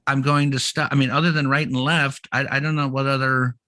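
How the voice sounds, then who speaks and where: A middle-aged man co-hosting a podcast in casual comedic chat, telling things in a rambling, halting way. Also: mid-range voice, flat delivery, sharp tone, dry recording, studio quality. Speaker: podcast co-host.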